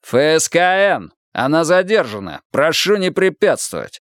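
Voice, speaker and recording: A man's voice: fairly high pitch, very animated, measured pace, dry recording, voice pushed up. Guy doing a wild-eyed young narc cop, barking threats, voice jumping way up, dry close sound. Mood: shouting, aggressive. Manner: shouting, swearing, threatening. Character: young male plainclothes drug cop, crazed, bullying.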